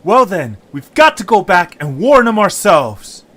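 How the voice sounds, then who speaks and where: A man's voice: high voice, sing-song, a little slow, noisy background. A man voicing an earnest, anxious young male creature in an audio story, polite and hesitant in manner. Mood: urgent, pleading.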